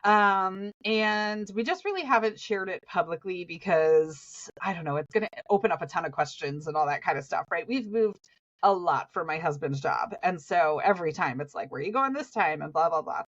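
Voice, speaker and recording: A woman's voice: fairly low pitch, expressive, moderate pace, a bit bright. Sniffly Southern woman in her forties, chatty podcast co-host with a rambling, laugh-filled delivery and a slightly dull sound. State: sniffly from allergies. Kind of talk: casual podcast chat.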